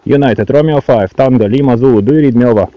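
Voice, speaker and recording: A man's voice: medium pitch, fast talker, highs cut off. A man, speaking as an amateur radio operator making calls and commenting between them. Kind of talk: radio calls with phonetic alphabet and muttered commentary. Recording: noisy radio static with clicks.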